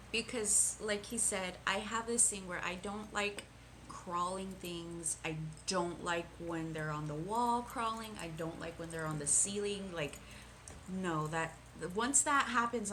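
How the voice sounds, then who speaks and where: A woman's voice: lowish voice, unhurried, a bit bright, a little hiss or hum. A woman co-hosting a casual movie review podcast, chatting in a hesitant way with frequent restarts. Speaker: podcast co-host.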